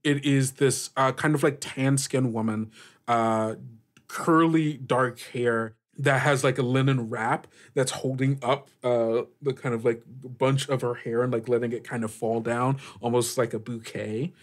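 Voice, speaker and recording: A man's voice: medium pitch, steady tone. A man narrating an improvised tabletop game as game master, feeling his way through descriptions with frequent "like". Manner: halting, searching descriptions with frequent "like".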